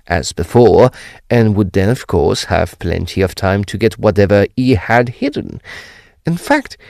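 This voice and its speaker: An actor playing an earnest Edwardian gentleman, recounting a mystery in formal, emphatic phrases for an audio drama. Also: medium pitch, no echo, clean studio sound. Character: earnest, animated Edwardian English gentleman telling a ghost mystery. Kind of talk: period audio drama storytelling.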